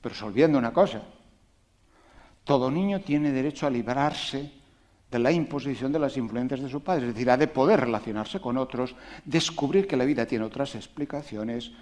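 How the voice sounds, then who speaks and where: A man giving a public talk to an audience on education, animated and emphatic, asking rhetorical questions and making conversational asides. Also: mid-range voice, moderate pace. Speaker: invited speaker on education.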